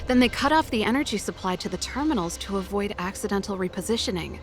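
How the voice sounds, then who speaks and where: A woman's voice: medium pitch, expressive, quick pace, faint music behind, close to an everyday voice. An actress playing a confident, easygoing young female mechanic and rock musician in a video game, talking casually. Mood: matter-of-fact. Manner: casual, self-assured, friendly. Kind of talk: video game character acting.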